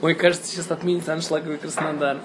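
A man's voice: on the high side, little pitch movement, fast. A man, a singer streaming live with his band, chatting casually and teasing them at a table indoors. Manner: joking, teasing, playful. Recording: loud crowd noise behind.

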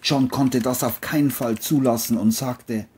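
Narrator for a crime thriller: mellow and husky, scripted delivery. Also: medium pitch, fairly even intonation, measured pace.

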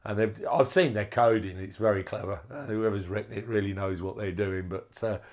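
Low-pitched man, halting hobbyist walkthrough. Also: darkish tone. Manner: hesitant, unscripted, halting phrasing. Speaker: amateur radio hobbyist presenting a project. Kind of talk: informal hands-on electronics walkthrough.

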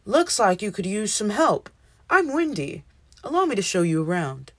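A woman's voice: mid-range voice, very animated, moderate pace. A woman voicing a helpful, friendly wind cloud in a children's storybook, with a breezy manner.

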